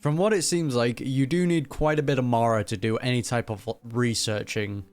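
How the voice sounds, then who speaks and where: A man's voice: mid-range voice, steady tone, moderate pace, no echo. A man giving casual, slangy gaming reaction commentary in a joking, conversational way. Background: game music.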